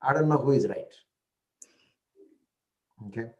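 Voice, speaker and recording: A man's voice: medium pitch, a little slow, mellow, highs cut off. A man with an Indian accent who speaks an Indian language natively, telling stories in a casual talk and often checking in with "right?" and "you know".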